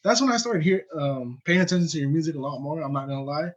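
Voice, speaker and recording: A man's voice: a little high, fast talker, dull audio. A man co-hosting a podcast, asking laid-back questions full of fillers. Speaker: podcast co-host. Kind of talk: casual podcast interview.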